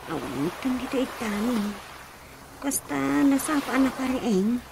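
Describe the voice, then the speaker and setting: A high, unhurried dutiful daughter. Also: female voice, expressive, gravelly, noisy background, heavily acted. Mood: caring. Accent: Ilocano. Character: young adult daughter, dutiful and bright. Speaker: radio drama actress. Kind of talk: radio drama acting. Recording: music bed under the dialogue. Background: music.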